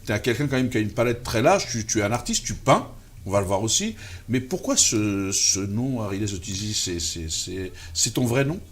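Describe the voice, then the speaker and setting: A man's voice: on the low side, expressive, normal speed. A man hosting an interview show, asking rambling questions with stammering repeats. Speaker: host.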